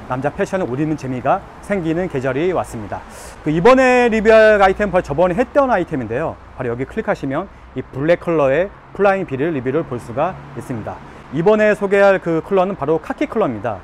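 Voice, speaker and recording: A man's voice: fairly high pitch, slight background noise. A man, presenting a product review to camera as a video host. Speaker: fashion review YouTuber. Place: outdoors by a concrete wall on an urban street.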